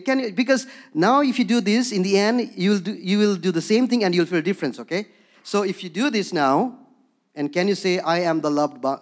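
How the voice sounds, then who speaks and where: Higher-voiced man preaching with a Himalayan accent. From Darjeeling, India, with Bhutanese background. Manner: conversational, frequently checks in with listeners with "okay?". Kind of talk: sermon with personal storytelling.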